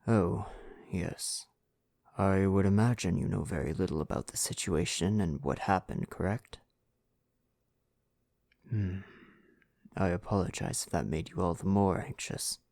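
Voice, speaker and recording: A man playing a tender, devoted prince in an intimate ASMR roleplay, soothing and formal in his phrasing, with a breathy voice that often drops to a whisper. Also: low voice, measured pace, pauses often, a little mellow, no room sound, studio quality. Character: tender, devoted prince fiancé back from war.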